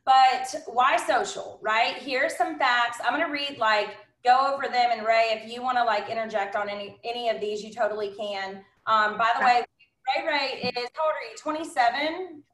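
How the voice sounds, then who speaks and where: A woman's voice: fairly high pitch, fairly even intonation, normal speed, a bit bright. A woman of thirty giving a casual business training talk, bubbly and emphatic, with lots of "like" and "honestly". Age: 30s. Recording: roomy, echoey sound.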